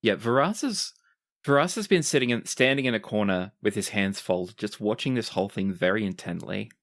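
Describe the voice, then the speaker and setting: A man's voice: fairly low pitch, lively intonation, fairly quick, fairly bright tone. A man improvising narration as the game master of a tabletop roleplaying podcast.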